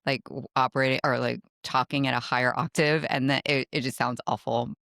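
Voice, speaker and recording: A woman with a naturally low voice hosting a casual podcast interview, with soft backchannels and trailing questions. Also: steady tone, brisk, gravelly, dry recording, studio quality. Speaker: podcast host.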